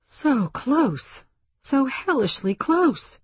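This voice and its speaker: A narrator, a woman, plays an impassioned female delegate in a science fiction audiobook. She declaims earnestly and emphatically, with a husky edge and a dry, close sound. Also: medium pitch, a little slow, no room sound. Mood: near hysteria. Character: intense, impassioned woman delegate awakening to alien insight.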